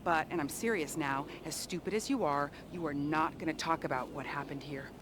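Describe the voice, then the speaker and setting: A hard-bitten spymaster played by a woman in a crime game, her voice slightly low. She is sardonic and talks down to whoever listens, with faint noise behind her. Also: brisk, close to an everyday voice. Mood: contemptuous. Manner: sardonic, condescending. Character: scornful, hard-bitten female intelligence agency boss. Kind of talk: video game cutscene acting.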